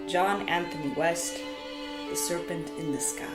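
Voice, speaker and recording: A woman storyteller delivering poetic scripted narration in a solemn, deliberate way, with dramatic phrasing and emphatic repetition. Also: on the low side. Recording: echoey, reverberant sound with music behind. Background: music.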